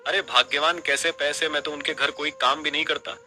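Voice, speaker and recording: A man's voice: on the high side, steady tone, brisk, bright, forceful tone. A man playing a humble, dutiful servant and husband in a cartoon moral story, speaking earnestly and politely. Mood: puzzled. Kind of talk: animated moral story dialogue.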